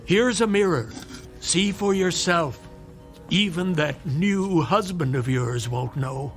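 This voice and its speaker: An actor playing a brisk, wry, persuasive hospital doctor in a radio drama, dry and insistent. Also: male voice, on the high side, faint music behind. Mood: smooth, reassuring.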